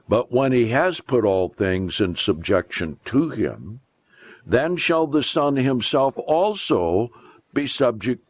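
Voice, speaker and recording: A man with a fairly low voice reads scripture in a solemn, deliberate way. His pitch moves in a lively, expressive range as he stresses key phrases. He takes his time, leaving measured pauses between phrases. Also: dry recording. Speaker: pastor reading scripture.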